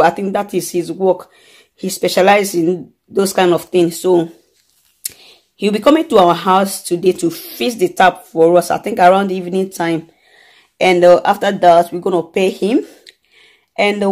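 A woman's voice: mid-range voice, darkish tone. A woman in her thirties chatting casually to a close-held phone camera in a dim room at home, rambling and repeating herself as she explains her day. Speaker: vlogger.

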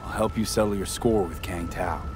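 A man's voice: low-pitched, moderate pace, over music, lightly acted. A man playing a tough, pragmatic and reassuring young mercenary in a video game. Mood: calm, resolute. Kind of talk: dramatic video game voice acting.

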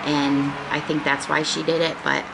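A woman's voice: low voice, little pitch movement, normal speed, creaky. An American woman in her forties chatting casually to her viewers in a rambling, informal, conversational way. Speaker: vlogger. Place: small living room at home, indoors. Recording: noticeable background room noise.

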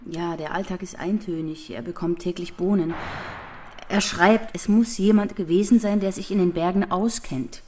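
A woman's voice: fairly low pitch, fairly even intonation, normal speed, dark tone, slight background noise, close to an everyday voice. A woman acting as a guarded, determined policewoman in custody in a crime audio drama.

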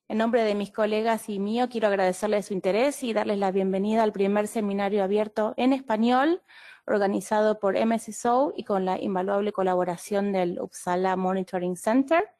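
A woman's voice: mid-range voice, a little mellow. A woman with an Argentine Spanish accent, hosting and presenting an online training webinar in a friendly, explanatory way. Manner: friendly, explanatory, with occasional fillers. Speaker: webinar host and clinical associate.

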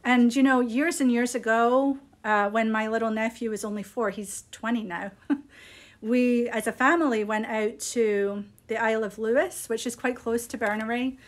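A middle-aged woman with a slightly high voice hosts a casual live product showcase. She talks in a chatty, unscripted, appreciative way, speaking into a phone in a small room. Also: steady tone, normal speed. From Scotland. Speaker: yarn shop host presenting products. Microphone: phone at arm's length.

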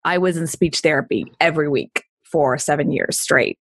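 A woman's voice: sits low, moderate pace, no echo. A woman in her forties from Texas with a neutral accent, telling her story in a casual interview and laughing often. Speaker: guest entrepreneur.

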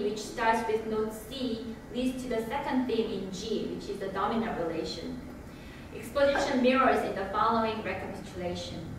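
Woman lecturer, measured reading pace, distant mic in a reverberant hall with room hiss. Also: medium pitch. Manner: measured, reading from notes with occasional hesitations. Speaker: lecturer giving a lecture recital. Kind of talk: read lecture presentation. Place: recital hall with grand piano and projection screen. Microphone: far room camera. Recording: echoey hall from far off, audible room noise.

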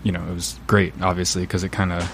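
A man from a band telling how the group formed, relaxed and full of fillers. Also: sits low, fast, slight background noise. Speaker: band member. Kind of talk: casual interview answers.

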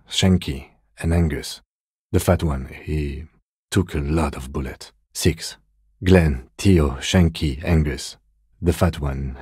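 An actor playing a composed, weary police detective in a video game, with dry, deadpan, measured delivery. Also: male voice, extremely low pitch, husky, no room sound, exaggerated voice. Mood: grave, composed.

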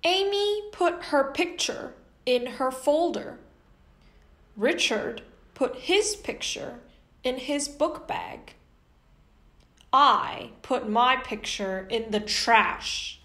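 Bright, high-pitched young woman reading slowly. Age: young adult. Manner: animated, carefully enunciated. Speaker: host and children's story reader. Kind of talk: children's picture book read-aloud. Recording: echoey indoor room.

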